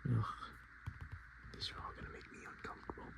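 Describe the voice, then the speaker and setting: A man speaking softly and in whispers, chatting casually as an ASMR host with an unhurried, self-deprecating manner. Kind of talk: soft-spoken and whispered ASMR, casual chat.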